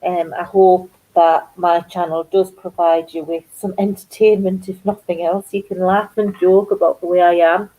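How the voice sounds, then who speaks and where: A woman's voice: on the low side, flat delivery, moderate pace, hardly any pauses, warm tone, low-quality recording. A middle-aged woman with a Geordie accent touched by North Yorkshire, chatting and rambling casually to her viewers as a crafts channel host. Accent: Geordie mixed with North Yorkshire. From North East England. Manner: chatty, rambling, self-deprecating. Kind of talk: casual chat to live viewers.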